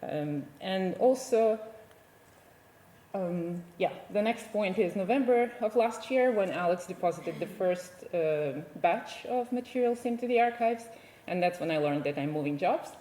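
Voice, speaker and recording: A woman's voice: mid-range voice, animated, unhurried, warm tone, roomy sound, poor audio. A woman from Ukraine, a native Ukrainian speaker, giving a conference presentation as a digital archivist, speaking hesitantly with many fillers and restarts.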